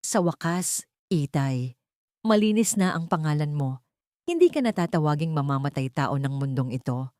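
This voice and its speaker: Lady narrator doing a grieving young filipino mom in an audiobook, soft and tender, lowish voice, dry sound. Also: expressive, normal speed, no room sound. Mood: tender relief. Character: grieving, devoted young mother speaking to her late father.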